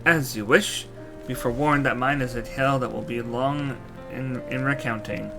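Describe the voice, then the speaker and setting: A man's voice: medium pitch, fairly even intonation, normal speed, lightly acted. A man voicing a courtly old knight reborn as a machine, telling his tale in formal, archaic, solemn speech for a fantasy game. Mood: courtly, patient. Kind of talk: fantasy game character dialogue. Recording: music behind the voice. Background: game music.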